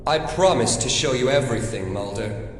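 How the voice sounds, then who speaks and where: An actor playing a haunted, defiant man recounting his past in deliberate, dramatic audio drama narration. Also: mid-range voice, lightly acted. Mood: taunting. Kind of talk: dramatic first-person storytelling in audio drama. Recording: distant mic, clear background noise.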